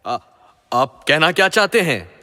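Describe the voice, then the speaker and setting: A man's voice: fairly high pitch, big pitch swings, unhurried, bright, forceful tone, heavily acted. A man playing an arrogant, jealous king of the gods in a mythological audio drama, pompous and scornful. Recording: long hall reverb.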